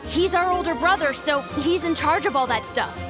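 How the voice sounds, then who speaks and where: A woman's voice: sits high, normal speed, over music, close to an everyday voice. A woman voicing a worried small child pleading for help in a video game.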